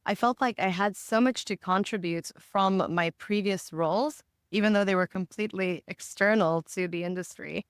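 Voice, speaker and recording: A woman from Botswana giving reflective, articulate and positive interview answers in a measured way as a podcast guest. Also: medium pitch, clean tone, no echo, clean studio sound. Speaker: podcast guest, marketing director.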